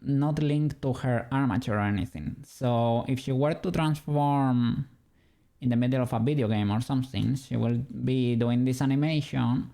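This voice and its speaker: A man's voice: medium pitch, dark tone. A man from Spain with a Spanish accent, chatting casually with his stream viewers while he works on an animation, musing aloud and laughing lightly. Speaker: streamer and 3D rigger. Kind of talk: casual livestream chat while working.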